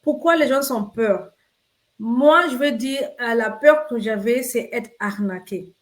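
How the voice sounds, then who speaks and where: Unhurried woman from Kenya, higher voice. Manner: unhurried, hesitant, with many fillers. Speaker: guest business partner. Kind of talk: casual live-stream chat.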